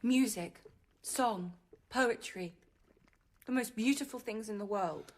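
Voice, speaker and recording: An actress playing a rebellious, wounded sixteen-year-old novice nun in a radio drama. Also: female voice, a little high, slow pace. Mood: defiant, probing.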